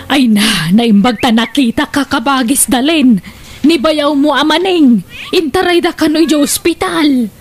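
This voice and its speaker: High-voiced gossipy village friend. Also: female voice, a little slow, soft background music. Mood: worried. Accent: Filipino. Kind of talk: comedic radio drama acting. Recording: faintly noisy radio drama track.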